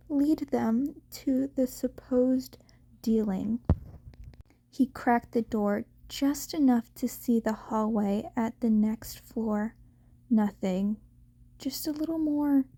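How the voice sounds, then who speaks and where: A woman reading scripted story narration as an audiobook narrator, slightly halting in her delivery. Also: high-pitched, mellow.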